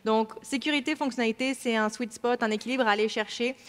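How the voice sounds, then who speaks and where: A woman's voice: fairly high pitch, fairly even intonation, fast. A woman from France with a French accent, explaining cybersecurity training as a panelist at a conference, with many fillers and restarts. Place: large conference hall. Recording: hall reverb.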